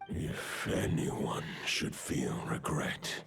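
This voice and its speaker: Slow, very deep ancient wolf spirit. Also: male voice, vocal fry, a bit bright, breathy edge, heavily acted. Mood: grave, brooding. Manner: archaic, formal, portentous. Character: ancient solemn male wolf spirit, grave and lordly. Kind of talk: scripted fantasy game dialogue. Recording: game music behind.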